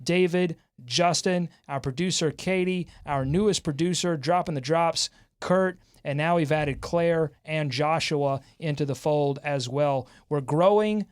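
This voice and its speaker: A man hosting a casual sports talk show, bantering with fans and co-hosts in an excitable, animated way. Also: fairly high pitch, unhurried, clear, resonant tone. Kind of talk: casual sports fan banter with comments and highlights.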